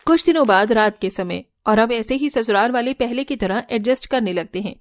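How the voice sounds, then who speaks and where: High-voiced woman storyteller. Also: normal speed, no echo, clean studio sound. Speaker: story narrator. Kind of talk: scripted narration of a cartoon family story.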